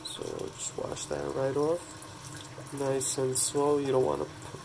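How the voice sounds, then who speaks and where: A man with a stuffy head cold casually demonstrating a gardening task at a kitchen sink, talking in a relaxed, halting way with many restarts. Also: mid-range voice, gravelly, background noise. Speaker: hydroponics gardening channel host. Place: home kitchen at a running sink. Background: running tap water.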